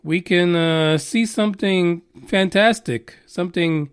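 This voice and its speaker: A man's voice: sits high, unhurried, clear, resonant tone, no echo, clean studio sound. A man hosting a podcast, ranting casually with lots of swearing and rambling asides. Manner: exasperated, profane, rambling. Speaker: podcast host. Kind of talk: casual solo podcast rant.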